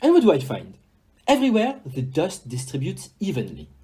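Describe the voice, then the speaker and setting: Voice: mid-range voice, huge pitch swings, moderate pace, warm tone, slightly rough, heavily acted. A performer in an amateur audio play acting a polite, self-assured detective, courteous and theatrical, dropping in words. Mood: explaining, dramatic.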